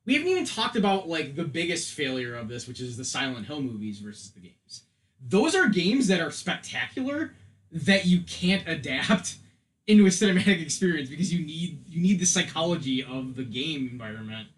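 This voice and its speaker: A man with a slightly higher-than-average voice speaks in a casual podcast discussion. He is opinionated and emphatic, talking like a co-host among friends. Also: very animated, normal speed, fairly bright tone. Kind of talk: casual film and game discussion.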